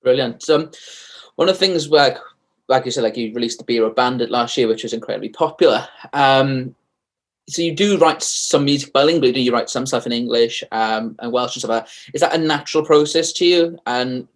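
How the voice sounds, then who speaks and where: A man's voice: medium pitch, a little fast. A man interviewing a guest, asking questions in a loose, hesitant way with fillers.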